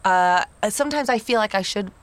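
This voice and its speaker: A woman co-hosting a comedic podcast, playing absurd bits with a deadpan, straight-faced delivery. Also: medium pitch, a little fast. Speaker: podcast co-host.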